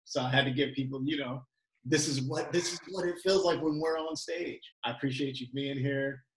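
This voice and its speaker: A man's voice: medium pitch, fairly even intonation, brisk, slight background noise. A man hosting a casual interview in an encouraging way, recorded in an echoing studio. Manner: encouraging, frequent affirmations. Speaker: comedy interview show host. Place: indoor home-built studio with brick wall. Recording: roomy echo, music behind.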